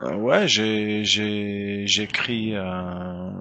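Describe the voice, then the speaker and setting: Slow, bright-voiced man. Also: on the low side. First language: German. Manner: hesitant, searching for words with many restarts. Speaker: guest musician. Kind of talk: casual interview talk.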